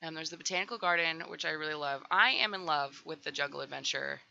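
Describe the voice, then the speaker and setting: Raspy woman with heavy vocal fry. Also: low voice, fast, bright, forceful tone, dull audio. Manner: rambling, full of "um" and "like". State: getting over a cold, just woke up. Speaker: Sims builder doing a voiceover. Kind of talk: casual voiceover chat.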